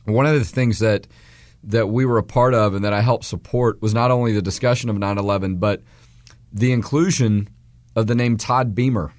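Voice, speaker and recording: Hoarse fortysomething guy hosting talk radio, lowish voice, dry close sound, lots of restarts. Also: fairly even intonation, moderate pace, a little mellow, no room sound. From Texas, US. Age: 40s. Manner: rambling, many restarts and fillers. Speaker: radio show host.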